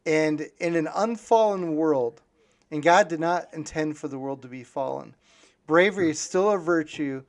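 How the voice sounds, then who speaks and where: A man hosting a casual conversational interview, musing aloud and speaking haltingly in fragments. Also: fairly high pitch. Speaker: podcast host.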